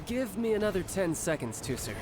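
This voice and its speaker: An actor playing a playful, protective young big brother in a video game, teasing and reassuring. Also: male voice, high voice, sing-song, brisk. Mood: reassuring, urgent. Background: game music.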